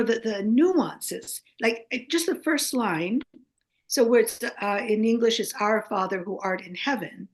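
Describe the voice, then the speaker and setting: An older American woman, a native Greek speaker, talking as a guest in a casual interview, halting with many fillers and restarts. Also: fairly high pitch. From United States.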